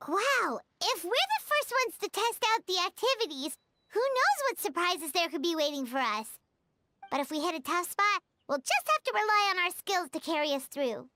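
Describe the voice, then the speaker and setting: A woman voicing a small, excitable floating fairy companion in a video game, eager in manner. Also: very high-pitched, normal speed, a little hiss or hum, exaggerated voice. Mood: excited. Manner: refers to self in third person, eager.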